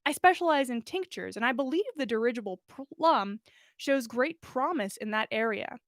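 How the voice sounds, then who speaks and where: Storybook male herbologist read by a woman: earnest, wordy, courteous, high-pitched, close dry sound. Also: expressive, moderate pace, a bit bright, dry recording, exaggerated voice. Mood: earnest, formal. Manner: formal, wordy, polite. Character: earnest, bookish, absent-minded adult male herbologist.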